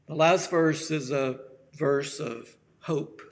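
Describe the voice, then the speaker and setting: A raspy-voiced man preaches at a very unhurried pace, with long pauses between his phrases. His delivery is gentle and halting, and his throat sounds worn by allergies. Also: a little high, fairly even intonation. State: allergies acting up. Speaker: pastor. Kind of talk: slow reflective sermon.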